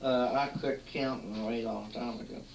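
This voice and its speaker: A man, casually narrating old family home movies at home with many halting pauses and restarts. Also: medium pitch, steady tone, creaky. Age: 40s. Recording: roomy, distant, faint hiss, 1980s home tape.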